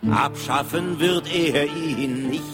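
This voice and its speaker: A man's voice: fairly high pitch, slow. A man performing a satirical song with guitar accompaniment. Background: acoustic guitar.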